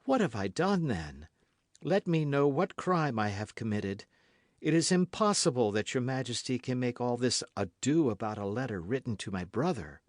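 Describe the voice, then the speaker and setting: A man's voice: medium pitch, sing-song, moderate pace, dry recording, clean studio sound, close to an everyday voice. A man voicing a frightened queen in an audiobook, stammering and imploring. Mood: indignant. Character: frightened, pleading queen, adult woman. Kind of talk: audiobook character reading.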